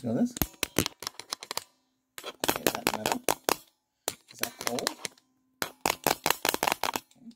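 A middle-aged man doing an ASMR doctor roleplay, giving short questions and instructions to a patient, with loud noise and clicks behind him. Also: high-pitched, very animated, takes it slowly, long pauses. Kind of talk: ASMR medical exam roleplay.

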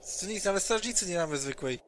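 Eager guy playing a simple party member, high and bouncy voice, noisy online audio. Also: animated, brisk. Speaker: tabletop game player. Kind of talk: in-character roleplay, playing a naive group member. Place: home, online session. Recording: online session audio with clear background noise.

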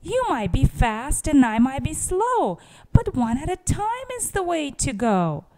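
A woman voicing a small, polite, cheerful blue truck in a storybook, in a bright high piping voice. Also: big pitch swings, unhurried, full character voice. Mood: pleading.

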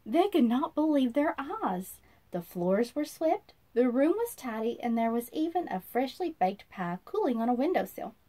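Animated, high-voiced woman reading a storybook. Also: moderate pace. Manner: sing-song, animated, asks listeners questions. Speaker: children's storyteller. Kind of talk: expressive read-aloud of a children's storybook. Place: small indoor room.

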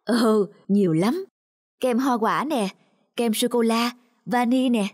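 A woman's voice: fairly high pitch, takes it slowly, a little mellow. Woman voicing a patient ice cream man. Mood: welcoming. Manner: patient, explanatory. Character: kindly middle-aged male ice cream seller, patient, respectful. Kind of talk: cartoon dubbing. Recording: music behind the voice.

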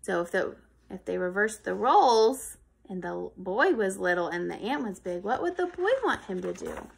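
A woman in her thirties reading a picture book aloud to young children in an expressive, sing-song manner. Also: mid-range voice, normal speed, vocal fry, slight background noise, low-quality recording. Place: living room on a couch, indoors.

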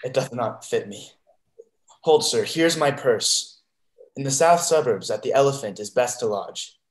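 A young man playing a devoted, wary sea fighter, earnest and halting, in a Shakespeare reading over a video call. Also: mid-range voice, expressive, natural voice. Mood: wary, caring. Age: young adult. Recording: echoey bedroom video call.

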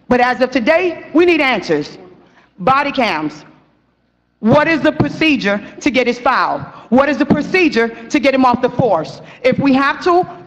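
A young woman speaking at a podium in a council chamber, pressing urgent, repeated questions. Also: high voice. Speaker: victim's sister at council meeting. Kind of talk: public comment speech. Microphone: podium mic. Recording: dull meeting audio with long hall reverb.

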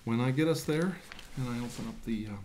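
A middle-aged man playing an earnest scientist who leads an armed mission, speaking in halting, measured phrases on a noisy recording. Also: mid-range voice, a little mellow, lightly acted. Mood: earnest, commanding. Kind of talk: amateur sci-fi role-play, briefing a team.

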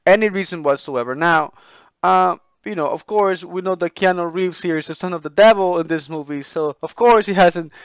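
A man giving an informal voice-over lecture, explaining in a halting way with repeats and rephrasing. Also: fairly high pitch, clean tone, no echo, highs cut off.

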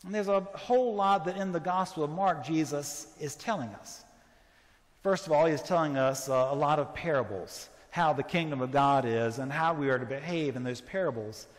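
A middle-aged man with a fairly high voice gives a conversational sermon, gesturing as he speaks. His words carry through a large church sanctuary with a long, lingering reverb. He talks with his congregation rather than at them. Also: normal speed. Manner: conversational, standing and gesturing as he preaches. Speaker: priest. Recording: church reverb from a fixed camera.

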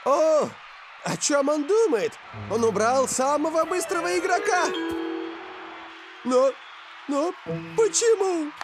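A man playing an excitable, dramatic sports commentator in a dubbed cartoon, with music behind him. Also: extremely high pitch, slow pace, pauses often, exaggerated voice. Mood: baffled. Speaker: match commentator.

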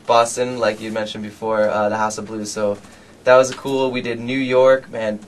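A man's voice: lowish voice, flat delivery, moderate pace, recorded from a distance. A young man in his twenties talks casually as a band guest in a radio studio. He is a little away from the mic, with faint noise behind him. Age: 20s. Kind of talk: casual interview talk.

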